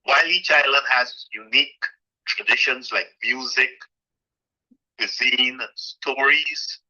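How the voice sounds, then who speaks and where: A man's voice: medium pitch, takes it slowly, sharp tone. A middle-aged man from Guyana, a guest on an interview show, answering with many fillers and restarts. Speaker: guest, president of a community association. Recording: dull, lo-fi sound.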